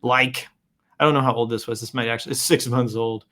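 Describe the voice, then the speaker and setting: A man's voice: medium pitch, steady tone, brisk, sharp tone. A man hosting a show, casually reading posts aloud and improvising commentary with playful announcer flourishes and wry asides.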